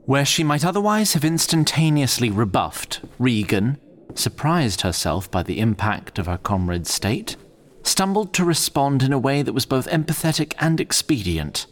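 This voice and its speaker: A man's voice: mid-range voice, big pitch swings, normal speed. A man narrating a fantasy audio drama in a scripted storytelling style.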